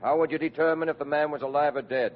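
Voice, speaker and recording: An actor playing a stern police instructor with a brisk, commanding manner in an old-time radio comedy. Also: male voice, on the high side, steady tone, a little hiss or hum, natural voice. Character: stern police lieutenant drilling rookie recruits. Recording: muffled old radio recording.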